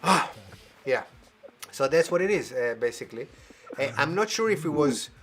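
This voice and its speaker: A man hosting a live variety show over a video call, playful and animated, laughing as he talks. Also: a little high, moderate pace. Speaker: host.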